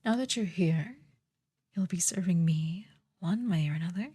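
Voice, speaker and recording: A woman playing a haughty, menacing sorceress queen in an ASMR fantasy roleplay, speaking softly and condescendingly, often close to a whisper. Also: fairly low pitch. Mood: smug, threatening. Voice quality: soft, breathy, partly whispered. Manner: slow, condescending, commanding.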